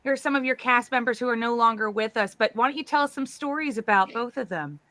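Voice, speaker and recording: A woman's voice: a little high, fast talker, fairly bright tone, dry recording. A woman hosting a reunion chat in an upbeat tone, guiding the conversation and introducing clips. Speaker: host. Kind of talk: interview hosting.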